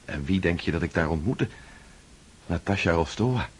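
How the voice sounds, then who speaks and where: A man's voice: deep voice, lively intonation, normal speed, husky, full character voice. An actor playing a pensive, absent-minded nobleman who speaks in a musing, hesitant way. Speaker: Pierre, a nobleman. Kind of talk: radio drama acting.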